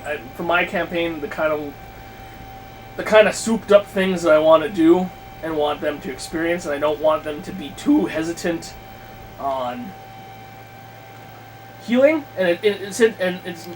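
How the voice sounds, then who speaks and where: A man's voice: fairly high pitch, rough recording. A man in a casual chat about tabletop games, rambling and thinking aloud as he circles back mid-sentence. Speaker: podcast guest planning a tabletop campaign. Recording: clear background noise and hum.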